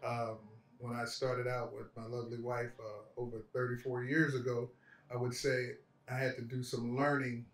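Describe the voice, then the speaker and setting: Older man speaking slowly and haltingly. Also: medium pitch, flat delivery, a bit bright. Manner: measured, with frequent ums and pauses. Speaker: family guest, father. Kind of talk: casual podcast chat. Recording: roomy, distant mic, faint music.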